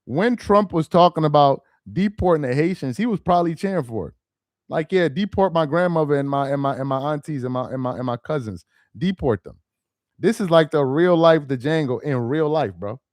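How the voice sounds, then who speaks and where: A man's voice: a little high, a little fast, dry recording, studio quality. A young man hosting a casual livestream, reading viewer comments aloud, laughing and exasperated, talking straight to his chat. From Nigerian heritage. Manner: animated, laughing, exasperated, talks to chat directly.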